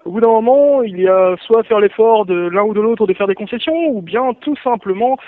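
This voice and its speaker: High-voiced courteous man on the phone. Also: brisk, dry recording. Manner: courteous, wordy, deadpan. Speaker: prank call recipient. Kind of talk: polite improvised answers on a phone call. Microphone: telephone. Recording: band-limited phone line.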